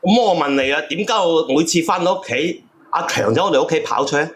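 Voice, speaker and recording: A man's voice: on the high side, expressive, fast, fairly bright tone. Aggrieved man caller, noisy line. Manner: aggrieved, accusing. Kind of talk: casual complaint.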